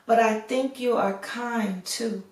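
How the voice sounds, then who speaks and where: A woman's voice: on the high side, fairly even intonation, slow. A woman voicing a brave, kind young heroine in a fairy tale, earnest, polite and reassuring. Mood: polite, honest. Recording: roomy echo, distant microphone.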